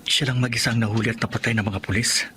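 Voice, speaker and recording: A man's voice: mid-range voice, steady tone, quick pace, sharp tone, a little hiss or hum, close to an everyday voice. A man acting a remorseful, worried young son in an emotional, pleading Tagalog radio drama style. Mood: anxious regret. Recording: music bed behind the voice.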